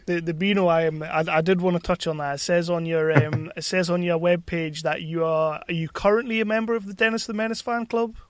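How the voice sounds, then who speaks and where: Higher-pitched man hosting a casual chat. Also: fairly even intonation, brisk, no echo. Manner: informal, fannish. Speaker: podcast host.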